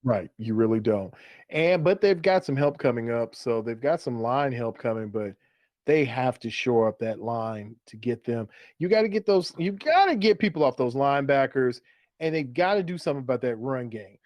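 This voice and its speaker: A man with a medium-pitched voice chats as co-host of a fantasy sports show. He is conversational and opinionated, and the sound is dry, with no room echo. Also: animated, normal speed, a little mellow. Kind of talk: casual fantasy football banter.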